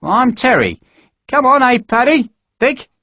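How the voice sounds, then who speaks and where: A man voicing a small, sharp-featured young man who talks in an eager, coaxing way. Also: very high voice, very animated, measured pace, no echo, clean studio sound, voice pushed up, heavily acted.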